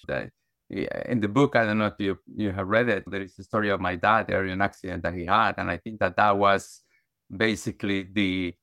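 A man's voice: deep voice, creaky, a bit bright, dry recording. A middle-aged man from Guatemala, a podcast guest, telling his life story earnestly with many restarts and fillers. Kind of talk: conversational storytelling.

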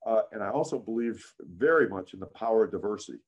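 A man in his sixties with a deep voice, a guest in a casual interview, telling career stories with many "frankly"s and "uh"s. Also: fast talker. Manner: frequent "frankly" and "uh", animated anecdotes. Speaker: interview guest, business founder.

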